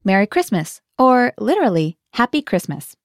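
A woman's voice: medium pitch, sing-song, moderate pace, no echo, studio quality. A woman hosting a scripted language lesson, guiding the learner and translating each phrase into English.

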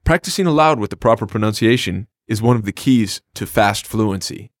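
A man's voice: fairly low pitch, animated, brisk, no room sound. A man co-hosting a scripted language lesson, explaining phrases in an upbeat, plain way. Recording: dry studio recording.

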